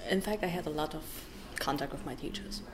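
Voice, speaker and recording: A woman with a German accent, German being her first language, talking haltingly as an interview guest, with many pauses and restarts. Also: on the low side, a crowd in the background. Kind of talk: personal interview.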